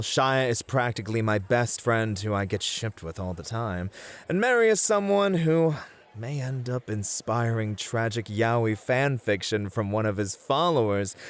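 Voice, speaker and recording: A man's voice: mid-range voice, natural voice. A man playing a suave, competitive club host who flirts and teases theatrically in an ASMR roleplay. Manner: smooth-talking, teasing, theatrical.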